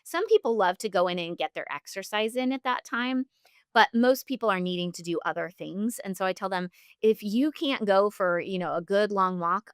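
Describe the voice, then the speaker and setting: A woman's voice: medium pitch, a bit bright, clean tone, dry recording, studio quality. A woman speaking as a health practitioner and interview guest, giving long, earnest, instructive explanations.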